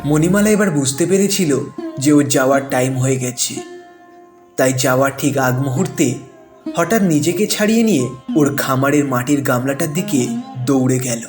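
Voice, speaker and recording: A man's voice: medium pitch, moderate pace. A man narrating a scripted cartoon moral story in an emotive, dramatic way. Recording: music bed behind the voice.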